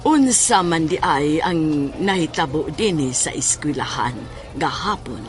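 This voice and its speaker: A woman playing a stern, probing questioner in a horror audio drama, speaking deliberately and insistently. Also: sits low, expressive, music in the background. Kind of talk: Filipino audio drama acting.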